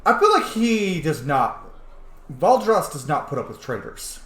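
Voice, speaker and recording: A man's voice: a little high, very animated, measured pace, a bit bright. A man giving casual let's play commentary while roleplaying a video game, rambling and thinking aloud. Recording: roomy echo, faint background noise. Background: video game ambience.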